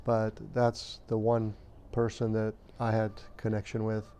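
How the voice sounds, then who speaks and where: A man in his fifties from Romania, recalling his childhood in an interview at a measured, hesitant pace with frequent pauses and fillers. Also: fairly low pitch, completely flat delivery, warm tone, a little hiss or hum. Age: 50s. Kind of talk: recounting childhood memories.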